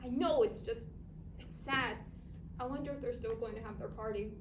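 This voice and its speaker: A woman's voice: on the high side, normal speed, natural voice. A female drama student playing an upset, caring, reproachful office coworker in a staged reading. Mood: upset, pleading. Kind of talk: staged reader's theatre performance. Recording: distant echoey noisy live stage recording.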